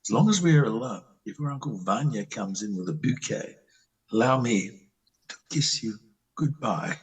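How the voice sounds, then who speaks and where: A man playing a disillusioned, lovelorn country doctor in an amateur play reading, with a husky voice and a theatrical, halting delivery. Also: medium pitch, lively intonation, far from the mic, poor audio. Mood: wistful.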